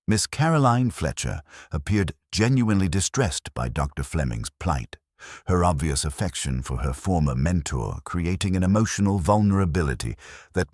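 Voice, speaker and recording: A man narrating a scripted mystery story in a formal, measured literary manner. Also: rumbling low, breathy edge, no echo, clean studio sound. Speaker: audiobook narrator.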